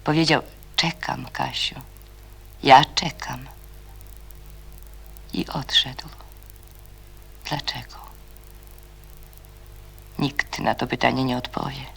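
A performer narrating a radio play as a melancholic storyteller, with a breathy, often half-whispered delivery. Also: mid-range voice, steady tone, a bit bright, slight background noise, exaggerated voice. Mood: wistful, sad. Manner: slow, brooding, melancholic. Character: brooding, melancholic storyteller voicing characters' thoughts.

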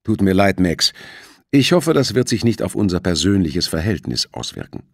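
A man's voice: deep voice, big pitch swings, moderate pace, no echo, clean studio sound, voice pushed up, heavily acted. A man voicing a stern, icy bank executive in an audiobook, curt and serious.

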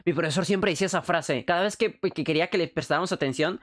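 A young man from Peru with a Mexican Spanish accent, speaking in a slightly high voice. He chats on a casual live stream, excitable and joking, heavy on slang and swearing. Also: fast talker. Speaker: streamer and animator.

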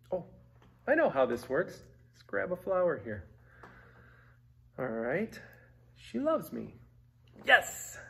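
A man's voice: a little high, gravelly, fairly bright tone, slightly rough. A middle-aged man presenting a theatrical comic introduction in a hammy, playful way. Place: small furnished room indoors.

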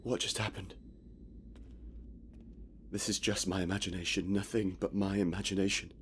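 Low-voiced, anxious trapped man played by an actor. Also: little pitch movement, moderate pace, a little hiss or hum, close to an everyday voice. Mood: shaken. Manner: muttering to himself, swearing. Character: anxious, frustrated man trapped in a dark subway. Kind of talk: video game voice acting, inner monologue.

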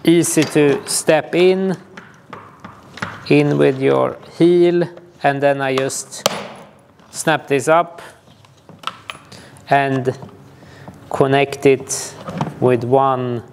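A man, informally explaining outdoor gear to camera in a hesitant, halting way. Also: medium pitch, steady tone, creaky, noisy background. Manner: hesitant, halting delivery with frequent pauses. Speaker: ski touring guide and instructor. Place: small indoor gear shop room.

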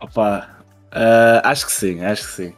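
A man's voice: fairly low pitch, fairly even intonation, normal speed, faint music behind. A young man with a European Portuguese accent, a guest player in a quiz, talking hesitantly and laughing at himself, on a headset at home. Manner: hesitant, laughing, self-mocking. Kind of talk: casual gaming banter.

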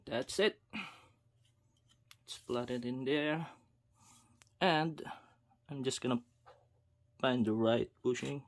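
Man, fishing video host, slow unhurried self-talk, mid pitch, faint background hiss. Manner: unhurried, self-talk with pauses, addresses viewers as guys. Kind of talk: casual how-to narration while working. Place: indoors at a wooden table.

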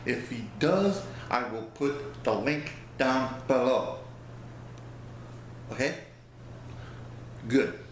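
A man's voice: medium pitch, animated, very slow, long pauses. A man in his fifties chatting casually to a webcam in a small room, rambling and folksy as he loses his words now and then. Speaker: vlogger. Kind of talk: casual product review vlog. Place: small indoor room by a plain wall. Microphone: webcam at close range. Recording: very echoey room, faint hiss.

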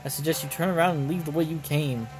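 A man's voice: on the high side, steady tone, fast, a little mellow, faint music behind, natural voice. A man voicing a stern male knight from a game, formal and curt.

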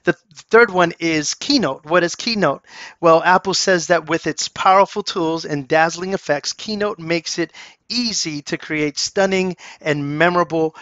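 A man narrating a casual software tutorial, chatty and enthusiastic, with lots of fillers. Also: a little high, normal speed, no echo. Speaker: course instructor. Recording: dull, slightly lo-fi dry voice-over.

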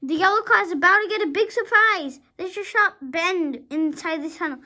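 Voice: very high voice, moderate pace, bright, forceful tone. A young child of about five reading a storybook aloud dramatically, sometimes stumbling over words and rereading them.

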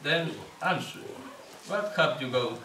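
A man's voice: mid-range voice, expressive, slow pace. A young man acting as a father in an amateur puppet show. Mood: stern, questioning. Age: young adult. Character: kindly father who gives gifts, sometimes stern. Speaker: student puppeteer. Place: indoor day centre room, puppet booth. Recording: echoey room, distant camera, noisy.

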